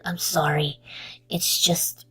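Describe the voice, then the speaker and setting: A woman voicing a worried, guilt-ridden young character in a fan audio drama, with halting delivery that slides into murmurs. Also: on the low side, level tone, a bit bright, husky, heavily acted. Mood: downcast, worried.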